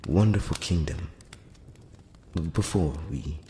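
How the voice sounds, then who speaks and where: A man playing a courteous, curious elf prince in an intimate ASMR fantasy roleplay, speaking softly and formally with some hesitation, close to the mic. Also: a bass voice, takes it slowly. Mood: pleased, shy. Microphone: close-up microphone. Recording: roomy long reverb, background hiss.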